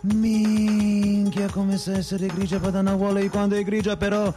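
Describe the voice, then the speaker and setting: A man's voice: sits high, flat delivery, moderate pace, natural voice. An actor playing a brooding, melancholy man in a radio monologue, lyrical and wry, with colloquial turns. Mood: wistful, lyrical. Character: melancholy, brooding man stuck in traffic, wryly poetic. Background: music.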